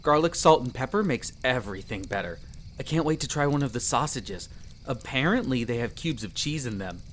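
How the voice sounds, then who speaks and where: A man's voice: medium pitch, animated, a little fast, fairly bright tone, a little hiss or hum, close to an everyday voice. A man playing a friendly, chatty elf explorer in a roleplay audio drama, explaining things in an easygoing, conversational way to the listener. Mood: relaxed, content. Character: friendly chatty male elf explorer, eager magic mentor.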